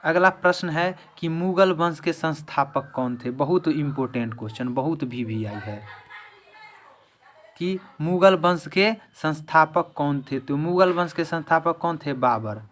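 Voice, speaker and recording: A man's voice: fairly high pitch, a bit bright. A man giving a quiz-style history lesson as an exam-prep teacher, restating each question and answer.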